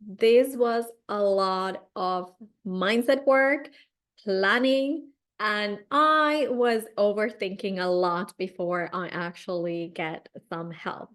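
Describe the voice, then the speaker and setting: Slow-speaking woman with a Finnish accent. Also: mid-range voice, a bit bright. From Finland. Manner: unhurried, encouraging, addresses viewers directly. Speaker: career coach. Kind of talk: live-streamed training talk.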